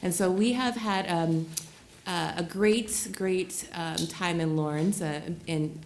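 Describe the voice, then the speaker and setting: Middle-aged woman, deep-pitched, introducing herself at a table mic; light background hiss. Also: slow pace. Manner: self-described big voice, warm introduction with fillers. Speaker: new board member. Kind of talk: self-introduction at a public meeting. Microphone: table microphone.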